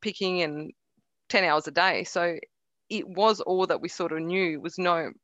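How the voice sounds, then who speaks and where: A woman's voice: fairly low pitch, steady tone, a little slow, fairly bright tone, dry recording, highs cut off. A middle-aged woman from Croatia, a Croatian speaker with a faint Croatian accent, chatting easily in an interview, laughing often and restarting her sentences. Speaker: interview guest. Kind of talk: relaxed conversational interview.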